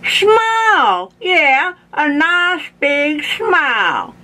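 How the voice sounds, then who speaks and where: A woman's voice: high-pitched, very animated, drawn-out words, bright, forceful tone, voice pushed up, exaggerated voice. A woman voicing a distraught, indignant old grandpa in a storybook, melodramatic and wailing. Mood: suspicious.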